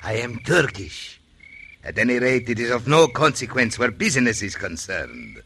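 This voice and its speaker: A man's voice: mid-range voice, sing-song, moderate pace, bright, forceful tone. A man playing a cunning, ruthless Turkish desert man in an old radio western, speaking florid, formal English. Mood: scheming, ruthless. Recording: vintage radio recording, faint noise.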